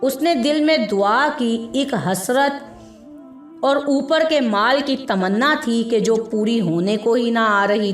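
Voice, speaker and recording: Lady reading a story out slow n expressive, echoey, bit of music under it. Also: fairly high pitch. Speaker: story narrator. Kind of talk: scripted narration of an Urdu moral story. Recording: echoey room with background music.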